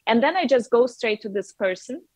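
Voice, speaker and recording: A woman's voice: fairly high pitch, animated, normal speed, dry recording. Chatty woman with a Russian accent. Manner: chatty, laughs often, many fillers. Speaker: artist guest. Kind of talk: casual video call interview. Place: bright room with a wooden ceiling. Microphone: wireless earbuds on a video call.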